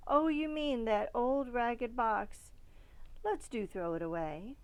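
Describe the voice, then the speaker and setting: A woman's voice: on the high side, slow pace, clear, resonant tone, pitched above the performer's own voice, low-quality recording. A woman voicing an imaginative, bossy little girl in a storybook, emphatic and eager. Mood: breezy.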